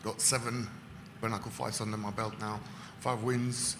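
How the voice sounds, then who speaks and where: A man from Poland, a fighter, introducing himself softly with many fillers at a press conference. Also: lowish voice, flat delivery, normal speed, echoey room, background noise.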